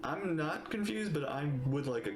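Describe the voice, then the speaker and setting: A man's voice: a little high, lively intonation, normal speed, very noisy. A man giving casual, off-the-cuff reaction commentary as he streams a story-driven game.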